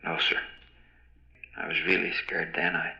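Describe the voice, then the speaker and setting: Grieving widower witness, halting, monotone, gravelly, old-time radio drama, dull recording. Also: male voice, low-pitched, heavily acted. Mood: subdued grief. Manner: halting, somber. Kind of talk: 1940s radio acting.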